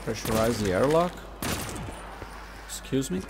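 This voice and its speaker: A man's voice: mid-range voice, animated, measured pace, background noise. A man giving casual gameplay commentary, reacting with exclamations and mild swearing. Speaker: gaming video creator. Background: game music and sound effects.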